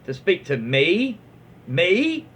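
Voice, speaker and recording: A man's voice: sits high, wildly swooping pitch, takes it slowly, fairly bright tone, slightly rough, pitched above the performer's own voice, exaggerated voice. A man voicing an entitled, confrontational mother, indignant and emphatic, for a read-aloud internet story. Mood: affronted.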